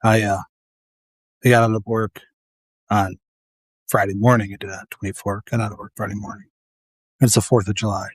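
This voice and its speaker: A man's voice: lowish voice, flat delivery, moderate pace, pauses often, breathy edge, dry recording. A man who works as a paramedic, talking casually and earnestly to camera, tired and losing his voice.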